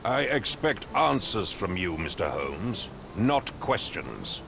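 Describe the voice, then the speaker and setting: Middle-aged male English captain for a game: pompous, curt, slightly deep, outdoor noise behind. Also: big pitch swings, slow pace, creaky, full character voice. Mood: impatient, irritated. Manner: pompous, clipped, condescending. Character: pompous, impatient male English captain, haughty wealthy master. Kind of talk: video game voice acting.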